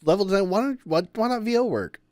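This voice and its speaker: A man's voice: sits high, expressive, fast talker, dry recording, studio quality. A man co-hosting a podcast in casual chat, enthusiastic and explaining at length. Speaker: podcast co-host.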